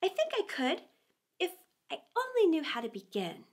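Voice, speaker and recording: A woman's voice: very high voice, huge pitch swings, moderate pace, exaggerated voice. A woman voicing a curious, earnest little girl from a children's storybook, prim and wistful, talking to herself. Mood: wistful, plaintive.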